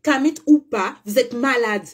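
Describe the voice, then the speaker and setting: Woman, high voice, husky, emphatic, blunt, rhetorical questions, spiritual coach. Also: measured pace. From French Caribbean (Guadeloupe, Martinique). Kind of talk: monologue to followers.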